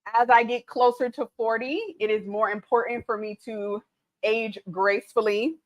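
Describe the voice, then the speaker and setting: A woman's voice: fairly high pitch, fairly even intonation, normal speed, muffled recording. A woman, chatting casually on her cooking livestream, reading viewer comments aloud and laughing easily, a little tipsy. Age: late 30s. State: tipsy from mimosas.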